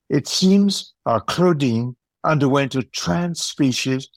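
A man reading scripted narration for an audio play in a measured storytelling manner. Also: mid-range voice, dry recording.

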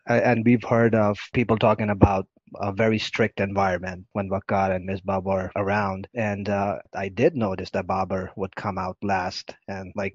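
A man with a lowish voice gives casual sports analysis as a podcast co-host. He speaks in long, measured explanations. The recording is close and dry, with a slightly muffled lo-fi sound. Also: no echo. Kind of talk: casual cricket commentary and analysis. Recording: dull lo-fi dry audio.

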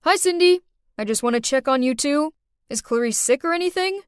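A woman voicing a breezy, smooth-talking young father in a narrated story. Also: sits high, expressive, a little fast, no room sound, clean studio sound.

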